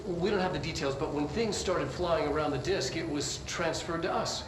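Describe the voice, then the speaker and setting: A noisy, roomy recording carries a man acting as an enthusiastic male government scientist from a video game. His voice sits fairly high, and he lectures with theatrical pedantry and wry asides. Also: normal speed, close to an everyday voice. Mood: excited, wry. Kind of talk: filmed scientific presentation. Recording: roomy echo with clear background noise.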